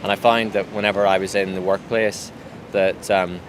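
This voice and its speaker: A Northern Irish man talks in a very low, level voice, hesitant and measured, and he often pauses on fillers. City traffic is clearly audible behind him outdoors. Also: level tone. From Northern Ireland. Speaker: interviewee. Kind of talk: reflective personal account.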